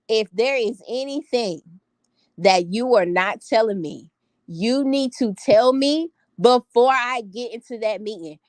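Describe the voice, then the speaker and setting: A woman's voice: a little high, no echo, studio quality. A woman, telling a story to live viewers in a strong, confident voice, emphatic and sassy, repeating phrases for effect. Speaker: vlogger talking to live viewers. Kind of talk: casual storytelling chat.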